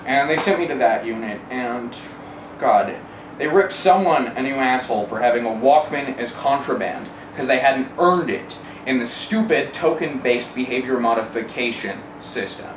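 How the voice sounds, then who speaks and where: A man recounts personal experience in a candid, wry manner, with some profanity and analytical digressions. He sits away from the microphone in an echoey room. Clear noise runs behind the voice. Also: mid-range voice, moderate pace. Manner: wry, sardonic, mildly profane; discursive, analytical asides. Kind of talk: candid first-person oral history. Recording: roomy, distant mic, clearly noisy.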